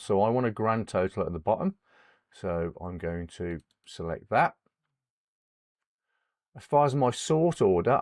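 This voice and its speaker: A middle-aged man, hoarse from a cold, hosting a screen-recorded spreadsheet tutorial. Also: on the low side, a little mellow, dry recording, clean studio sound. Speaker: host of an Excel tutorial channel.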